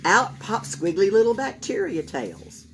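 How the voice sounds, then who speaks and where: A woman reading a children's story aloud, expressive and emphatic, with deliberate phrasing. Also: mid-range voice, a little hiss or hum. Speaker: library storytime reader. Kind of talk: animated children's read-aloud.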